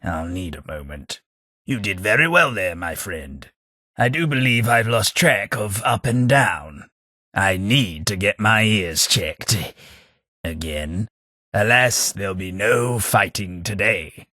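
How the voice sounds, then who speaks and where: A slow-talking, upper-class Southern English ghoul gentleman, voiced by a man for a video game, all dry wit and refinement. His voice is husky yet bright, sitting low with a lively, swooping pitch. The close studio recording is completely dry. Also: dry recording, heavily acted. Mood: wry, composed. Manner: dry, wry, refined delivery. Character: posh, witty male ghoul gentleman companion. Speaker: game companion. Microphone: close studio mic.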